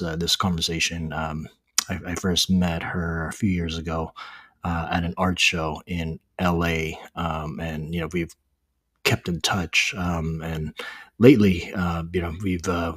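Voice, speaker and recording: Deep husky man hosting a podcast. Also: no echo. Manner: relaxed, hesitant, heavy fillers. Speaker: podcast host. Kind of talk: casual podcast intro and interview.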